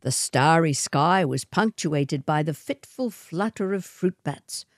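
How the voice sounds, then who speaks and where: A woman narrates literary fiction with a slow, measured delivery. Her voice is fairly low and mellow, atmospheric in manner, recorded close and dry. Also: expressive, dry recording. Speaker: short story reader.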